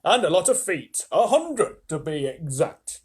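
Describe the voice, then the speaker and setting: A man voicing a boastful male centipede with a put-on Northern English accent, loud and swaggering. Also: fairly high pitch, sing-song, a little slow, slight background noise. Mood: proud. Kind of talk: storybook read-aloud voice.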